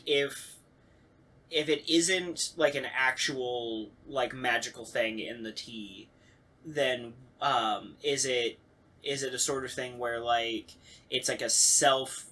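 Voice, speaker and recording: A man with a medium-pitched voice takes part in a casual panel chat. He speaks informally, with slang, feeling his way through each idea in halting phrases. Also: sharp tone.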